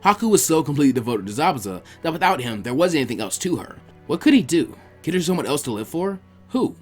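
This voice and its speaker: A man narrates a story with very lively intonation. His pace is quick and his delivery expressive. Faint music plays behind his voice. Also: fairly high pitch. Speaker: fan fiction narrator.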